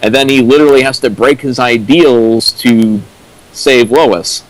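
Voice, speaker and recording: Casting: male co-commentator, medium pitch, halting and opinionated, explains casually with examples. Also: rough recording. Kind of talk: casual opinionated discussion of storytelling.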